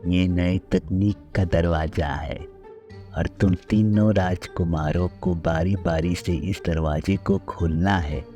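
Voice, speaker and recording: A man playing a stern, authoritative royal minister in a children's story cartoon, speaking deliberately. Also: sits low, steady tone, dark tone, faint music behind. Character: stern royal minister setting princes a test.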